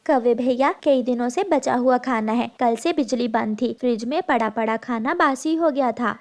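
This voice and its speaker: A woman's voice: on the high side, moderate pace, natural voice. A woman voicing a kindly, concerned female sparrow in a cartoon, calling out urgently. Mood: friendly.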